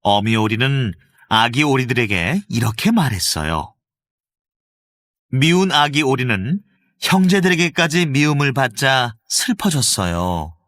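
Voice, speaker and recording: Expressive male storybook narrator. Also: mid-range voice, a little slow, dry recording. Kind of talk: scripted storybook narration for children.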